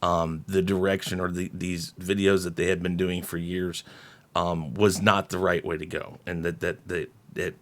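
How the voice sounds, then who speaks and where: Low-voiced man giving casual commentary. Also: flat delivery, moderate pace. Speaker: YouTube commentary host. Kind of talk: casual opinion commentary on online video.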